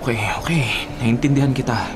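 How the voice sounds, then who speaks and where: A Filipino man acting a sweet, earnest young boyfriend in a radio drama, tender, pleading and expressive. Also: mid-range voice, a little fast, background noise, natural voice. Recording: music playing behind the voice.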